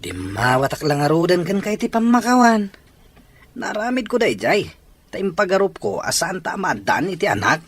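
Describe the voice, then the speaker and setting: A man playing a husky, worried father. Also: high-pitched, sing-song, moderate pace, a bit bright, exaggerated voice. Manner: earnest, worried. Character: struggling father out of work, weary.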